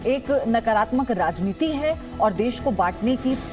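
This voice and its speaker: Woman, news anchor, high pitch, broadcast news delivery, clear music bed behind. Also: animated, unhurried.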